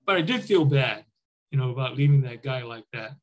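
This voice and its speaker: A man's voice: medium pitch, expressive, a little fast, crowd noise. An older man, a military veteran, recalling his war service in an informal storytelling way, often voicing remembered dialogue. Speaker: military veteran, former Army air traffic controller, interviewee. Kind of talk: conversational war reminiscence.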